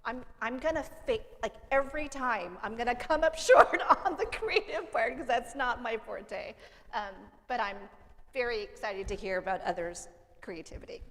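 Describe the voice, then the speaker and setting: A woman's voice: a little high, normal speed, fairly bright tone. Chatty woman scholar in a reverberant hall. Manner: self-deprecating, chatty, with ums and fillers like "like". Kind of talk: casual panel remarks. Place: seminary hall with audience.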